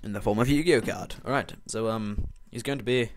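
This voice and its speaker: A man's voice: on the low side, brisk, a little hiss or hum. A teenage boy giving casual, rambling commentary over a screen recording, muttering and mocking himself as he types. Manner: rambling, self-mocking, muttering while typing. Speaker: video maker.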